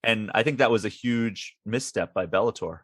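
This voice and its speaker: A man's voice: lowish voice, normal speed, dry recording, studio quality. Easygoing male podcast co-host. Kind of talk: casual sports chat.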